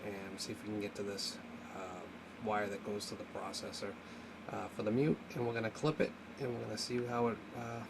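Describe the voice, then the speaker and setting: A man giving a casual, unscripted hands-on tutorial, talking haltingly as he thinks aloud while working. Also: lowish voice, noisy background. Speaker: hobbyist presenter of a radio repair video.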